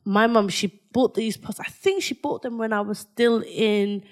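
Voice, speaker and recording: A woman co-hosting a casual podcast, telling stories in an animated way and laughing often. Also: on the high side, unhurried. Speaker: podcast co-host. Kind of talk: casual chat.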